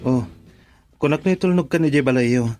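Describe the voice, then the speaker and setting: A man's voice: medium pitch, brisk, darkish tone, faint music behind, lightly acted. A man acting a humble, devoted young tailor in a radio drama, earnest and respectful, with a Filipino accent. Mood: tender, anxious.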